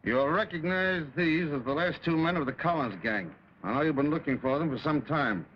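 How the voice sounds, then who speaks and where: An American man playing a gruff, brisk police captain with curt authority in a 1940s film serial. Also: on the high side, natural voice. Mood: wry. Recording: muffled 1940s film soundtrack, faint noise.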